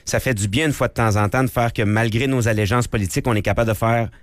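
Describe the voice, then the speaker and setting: A man's voice: mid-range voice, steady tone, fast talker, no echo. A man co-hosting an interview, commenting in long rambling sentences. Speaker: radio show co-host. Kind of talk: interview conversation.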